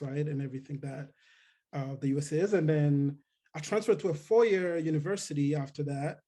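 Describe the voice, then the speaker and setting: A man from Rwanda giving a relaxed talk on a video call, with many fillers and restarts. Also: a little high. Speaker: guest speaker. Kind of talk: casual technical talk.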